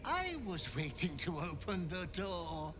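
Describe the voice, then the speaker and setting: Game role: creepy servile ghoul butler, very high swooping delivery, gleefully macabre, noisy ambience behind. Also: male voice, very animated, slow, full character voice. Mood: gleeful fawning. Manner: obsequious, theatrical, gleefully macabre. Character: fawning, ghoulish goblin-like butler to a murder cult. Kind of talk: video game character acting.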